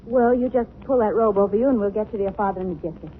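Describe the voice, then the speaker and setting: An actress playing a breezy, easily alarmed young companion of a crime fighter, in 1940s radio drama style. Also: female voice, mid-range voice, racing, natural voice. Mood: helpful, puzzled. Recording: noisy, narrow-band 1940s radio recording.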